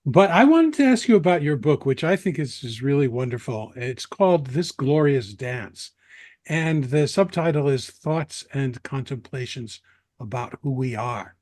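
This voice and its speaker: A man's voice: mid-range voice, animated, measured pace, darkish tone, no echo, studio quality. A man co-hosting a podcast and asking questions in a casual, conversational style. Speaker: podcast co-host.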